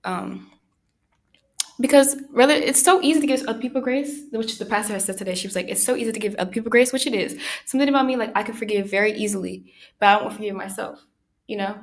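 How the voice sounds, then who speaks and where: A young woman hosting her own podcast, talking through personal thoughts in a soft, soothing voice, pausing often and using many fillers. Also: medium pitch. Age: young adult. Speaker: podcast host. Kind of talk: reflective personal monologue.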